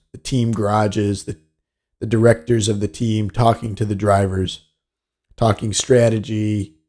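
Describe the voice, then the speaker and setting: A man's voice: on the low side, warm tone. A man hosting a solo sports business podcast, explaining things in a matter-of-fact way. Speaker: podcast host.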